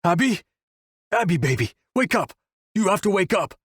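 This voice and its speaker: Audiobook role: male narrator as frantic grieving lover, pleading and crying out, pitched high, dry recording. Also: big pitch swings, moderate pace, fairly bright tone, husky, no room sound, exaggerated voice. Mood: frantic grief. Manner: screaming, pleading, breaking up with grief. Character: desperate grieving man, pleading with his unconscious lover.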